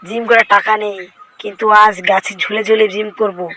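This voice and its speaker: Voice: medium pitch, flat delivery, moderate pace, sharp tone, slight background noise, natural voice, low-quality recording. A voice actor dubbing a boastful, vengeful cartoon cat in speech, exclaiming, whining and bragging to himself in a comic fan-dub style.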